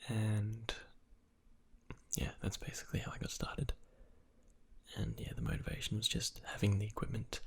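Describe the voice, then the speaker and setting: A young man, an ASMR channel creator, answers viewer questions in a soft whisper, rambling with fillers. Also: fairly low pitch, monotone, moderate pace, creaky, long pauses, breathy edge.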